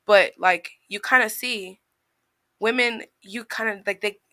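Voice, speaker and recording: A woman's voice: mid-range voice, unhurried, bright, forceful tone, no echo. A woman guest on a panel, giving personal opinions in an emphatic, conversational way and correcting herself as she goes. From outside the United States. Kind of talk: casual debate, personal opinion.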